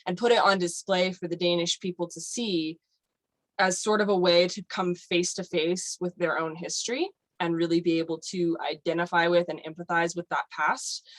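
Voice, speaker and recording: A woman's voice: lowish voice, fairly bright tone, no room sound, rough recording. A woman guest on a podcast, explaining at length in casual chat with many fillers like "um" and "like". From United States.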